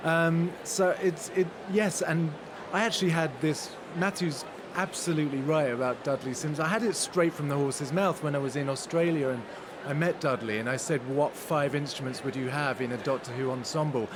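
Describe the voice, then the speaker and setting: A man's voice: on the high side, normal speed. A man being interviewed casually in a large concert hall, wry and self-deprecating in manner. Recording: background noise of a busy venue.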